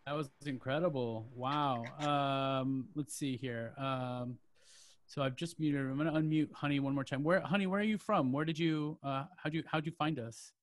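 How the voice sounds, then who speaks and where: A man hosting an open mic over a video call, rambling warmly with lots of fillers and restarts. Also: medium pitch, fairly even intonation, normal speed.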